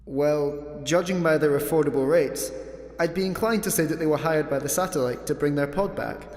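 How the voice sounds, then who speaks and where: A man's voice: on the high side, normal speed, lightly acted. Echoing pedantic spaceship computer, played by a man. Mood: deadpan. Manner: deadpan, pedantic, formal wording. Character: pedantic, sardonic male spaceship computer, unbothered by danger. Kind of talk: sci-fi comedy radio play acting. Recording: echoey, long reverb.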